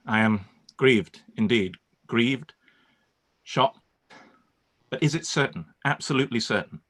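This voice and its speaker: A man acting a reserved, concerned gentleman in a period radio play, speaking formally and earnestly. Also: medium pitch, a little slow. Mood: grieved, shocked.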